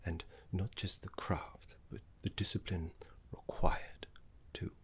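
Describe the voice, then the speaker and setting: A man voicing a gentle, erudite necromancer teacher in a fantasy ASMR roleplay, speaking in a breathy half-whisper with refined, reassuring deliberation. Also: deep voice, fairly even intonation, takes it slowly, full character voice. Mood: calm, instructive.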